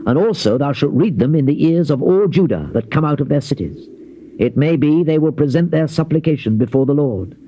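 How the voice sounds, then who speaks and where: An actor playing a grave Old Testament prophet in a dramatized scripture reading, declaiming in measured phrases. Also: male voice, mid-range voice, mellow, lightly acted. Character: solemn male Old Testament prophet recounting God's warnings. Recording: dull noisy old cassette tape.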